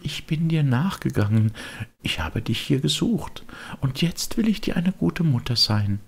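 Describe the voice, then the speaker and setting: A man voicing a gentle, motherly fairy, kind but admonishing, in a measured audiobook character voice. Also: medium pitch, sing-song, gravelly, slightly rough, pitched above the performer's own voice, full character voice. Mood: tender.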